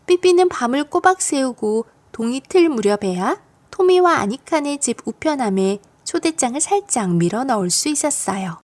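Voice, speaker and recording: High-pitched, lively woman narrating a storybook. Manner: expressive, storytelling for young children. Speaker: audiobook narrator of children's stories.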